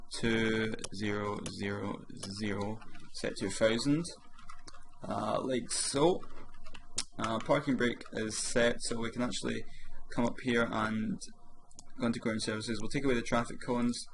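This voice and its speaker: A man, a bit hoarse from a sore throat, chatting casually as he walks through each step of a flight simulator session. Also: fairly low pitch, background noise. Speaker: flight sim streamer. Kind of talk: casual gameplay commentary.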